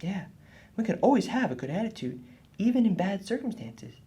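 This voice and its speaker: Woman as husky, animated boy puppet, Nathan. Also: mid-range voice, brisk. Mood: earnest. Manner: explanatory, earnest. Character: earnest, helpful boy puppet friend named Nathan. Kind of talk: children's puppet show lesson.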